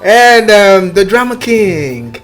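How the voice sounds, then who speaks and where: A man's voice: sits high, big pitch swings, slow pace, fairly bright tone, soft background music. A male radio host interviewing two contest finalists, encouraging them and laughing along.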